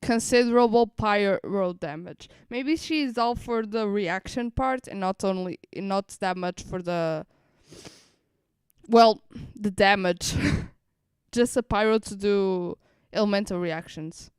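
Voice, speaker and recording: Slow-paced, very clear-voiced woman streamer. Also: medium pitch, no echo, studio quality. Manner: rambling, thinking aloud, repeating phrases. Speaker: streamer reacting to a game livestream. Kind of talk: casual live reaction commentary.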